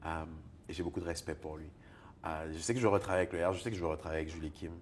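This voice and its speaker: Deep-voiced man, animated and laughing. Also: racing, slight background noise. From Togo. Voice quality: deep baritone. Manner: animated, laughs often, repeats words when searching. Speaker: singer-songwriter and poet guest. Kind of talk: conversational interview.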